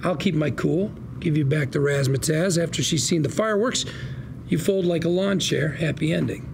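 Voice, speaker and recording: A slick male con-artist lawyer cajoles with colourful, slangy patter. His voice sits fairly high for a man and has a lot of fry in it. Noticeable noise runs behind the drama dialogue. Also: normal speed. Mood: scheming, emphatic. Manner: glib, persuasive patter with colourful slangy quips. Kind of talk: screen drama script read at a table read.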